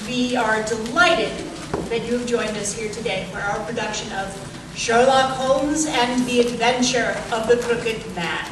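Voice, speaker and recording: A woman's voice: on the high side, steady tone, a little slow. A woman giving a live welcome speech to an audience as director of a theatre company. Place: large echoey indoor venue with an audience. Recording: noisy, very echoey hall from far off.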